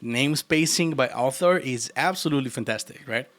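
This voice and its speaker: A man's voice: mid-range voice, moderate pace, a bit bright. A man from Argentina with an Argentine Spanish accent, chatting casually and teasingly with his live chat while he codes. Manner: playful and teasing, laughs often. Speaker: programming livestreamer.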